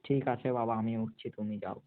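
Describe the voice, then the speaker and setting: A man's voice: on the low side, little pitch movement, brisk, lightly acted. A man voicing a lazy, carefree young adult son in a cartoon, making placating excuses. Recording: narrow, phone-like muffled sound.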